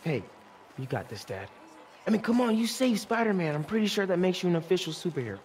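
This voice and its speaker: A man's voice: high voice, sing-song, fast, darkish tone, slight background noise. A young man playing a casual, encouraging American teenage son in a video game, with slangy delivery.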